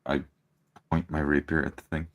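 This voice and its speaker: Tabletop player, man with an extremely deep voice, halting delivery. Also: flat delivery, a crowd in the background. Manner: hesitant, halting speech. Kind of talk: in-character tabletop play.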